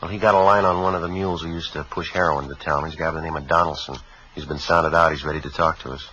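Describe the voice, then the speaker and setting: Deadpan cop from a 50s radio show, super low sharp voice, clipped and factual, muffled old recording. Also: male voice, fast talker, a little hiss or hum. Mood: calm, casual. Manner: clipped, deadpan, matter-of-fact. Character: level-headed male police homicide sergeant, narrating his case. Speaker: detective narrator. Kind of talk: 1950s radio crime drama acting and narration. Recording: dull vintage radio recording, faint music.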